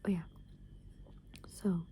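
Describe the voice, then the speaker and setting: Whispering young woman, very mellow. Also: slow pace. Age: young adult. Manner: soft unvoiced whisper, rambling with many fillers. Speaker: ASMR creator. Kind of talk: whispered ASMR soft rambling.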